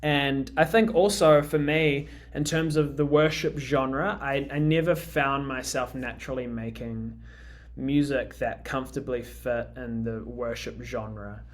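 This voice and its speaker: A man's voice: medium pitch, steady tone, measured pace. Hesitant New Zealand man, musician guest. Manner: hesitant, many ums and restarts. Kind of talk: casual interview chat.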